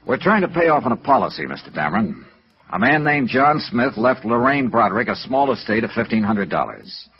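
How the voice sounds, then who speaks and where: An American actor playing a sardonic, probing insurance investigator in 1950s radio noir, clipped and dry, on a vintage recording. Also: male voice, mid-range voice, very animated, fairly quick, slightly rough, muffled recording.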